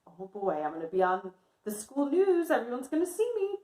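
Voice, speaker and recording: In an echoey room, a woman plays an eager schoolgirl from a children's storybook, a little nervous. The delivery is emphatic and expressive, with lively swings in pitch. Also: normal speed. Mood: nervous, excited. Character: eager, nervous schoolgirl Amelia narrating her own story. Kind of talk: animated children's storybook reading.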